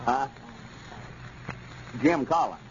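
A self-important backwoods storekeeper brags away in a folksy rural Arkansas accent. His voice sits at an average pitch, pompous and pleased with itself. It comes from a 1930s radio comedy recording, muffled, with light scratchy noise. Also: very animated, extremely slow. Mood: eager, self-important. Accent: Southern US, rural Arkansas.

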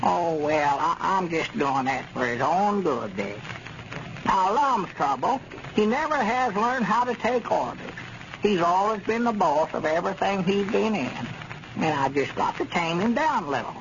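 An actor playing a bossy, folksy older country storekeeper with a drawling rural Southern accent in a 1930s radio comedy. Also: male voice, sits high, sing-song, normal speed, fairly bright tone, heavily acted. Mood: folksy, self-justifying. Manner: folksy, drawling, dialect grammar. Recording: scratchy, muffled 1930s radio recording.

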